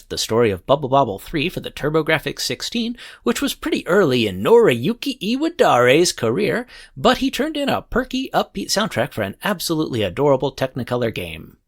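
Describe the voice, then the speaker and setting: A man hosting a music podcast, reading scripted commentary in a playful, animated way. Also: on the high side, moderate pace, hardly any pauses. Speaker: podcast host. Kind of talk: scripted music show narration.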